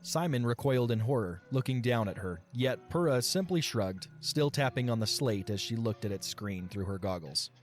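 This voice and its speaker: A man's voice: medium pitch, animated, normal speed, few pauses, faint music behind. A man reading scripted story narration as the narrator of an audio drama.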